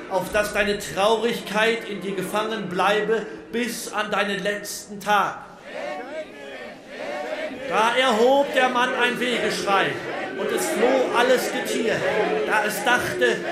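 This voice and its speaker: A man telling a biblical-style tale in a measured, solemn manner. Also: high voice, reverberant. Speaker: narrator. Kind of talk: solemn biblical-style narration in a radio play.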